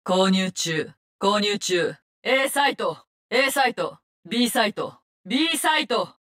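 A vengeful female assassin from a tactical video game. Her voice sits at a medium pitch. She delivers short, commanding lines with icy contempt and menace. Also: lively intonation, takes it slowly, a bit bright. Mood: curt, tactical. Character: menacing, cold, vengeful woman poison-gas assassin. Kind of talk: video game character barks in Japanese.

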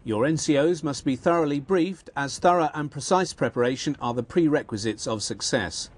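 A man's voice: a little high, moderate pace, slightly rough. A man reading scripted documentary narration in a formal, explanatory way. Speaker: narrator of translated captions.

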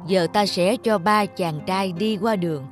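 An actor playing a wise old village man with measured authority in an animated folktale. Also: high-pitched, faint music behind. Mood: calm, authoritative.

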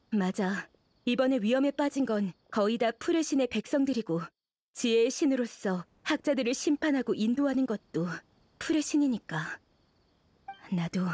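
A female scholar's assistant in a video game. Her voice is fairly high, and she speaks in a guarded, deliberate, matter-of-fact way. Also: mellow, breathy edge. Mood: reflective. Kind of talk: game cutscene dialogue.

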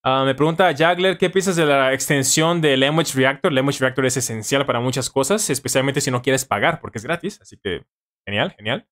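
A man's voice: mid-range voice, brisk, a bit bright. A man in his thirties with a Mexican accent hosts a casual live stream, informally answering viewers and repeating phrases for emphasis, close to a studio microphone. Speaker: live-stream host, language-learning tutor. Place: small home studio room, indoors. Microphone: studio microphone on boom arm, close.

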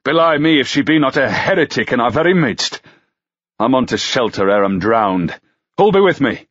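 A man's voice: mid-range voice, animated, normal speed, a bit bright, muffled recording, pitched above the performer's own voice. A man voicing a blunt, beer-drinking medieval pilgrim who scolds and mocks a companion. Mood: mocking. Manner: scornful, blunt.

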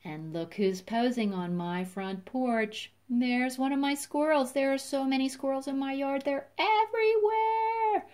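Slow-paced woman hosting children's storytime. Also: sits high, a little mellow. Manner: simple explanations with questions to listeners. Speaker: children's storytime host and nature guide. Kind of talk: storytime narration for young children.

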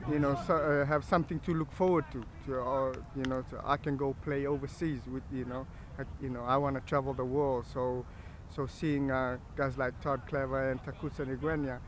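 A man in his thirties giving a casual interview, halting and repeating phrases. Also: medium pitch, level tone, rough recording. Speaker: rugby player. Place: outdoor sports field. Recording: clear outdoor field noise.

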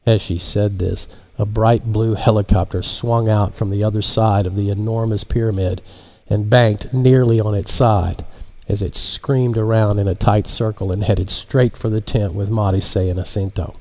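Man in his fifties, low pitch, soft warm tone, deliberate audiobook narration, close mic. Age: 50s. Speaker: author reading his audiobook. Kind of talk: scripted narration, audiobook reading. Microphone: large studio microphone close in front.

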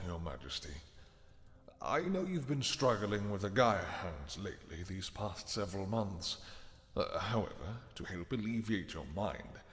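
A man's voice: deep voice, big-room sound. A man voicing a polite, hesitant royal servant who speaks deferentially with small hesitations.

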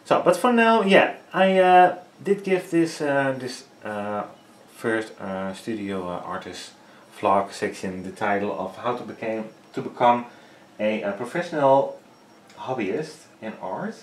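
A man's voice: mid-range voice, expressive, measured pace, few pauses, slight background noise. A man in his thirties with a Dutch accent, speaking English as a third language while painting, hesitant and full of fillers. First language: Dutch and Frisian. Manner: hesitant, many fillers, searching for English words. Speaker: painting tutorial host. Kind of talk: casual studio vlog while painting. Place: small home art studio, indoors. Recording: echoey room.

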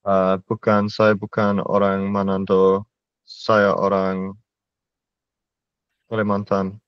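Young man student on headset, low monotone, slow, muffled dry call audio. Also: clean tone, no room sound. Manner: slow, careful learner repeating phrases. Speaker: language student. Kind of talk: online language lesson. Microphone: headset on video call.

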